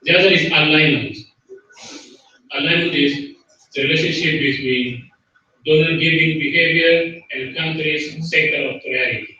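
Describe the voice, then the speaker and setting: A man's voice: fairly high pitch, fairly even intonation, normal speed, sharp tone. A man presenting economic research and answering a question at an academic conference. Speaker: panel speaker, economist. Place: university conference room. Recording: echoey hall, distant, dull sound.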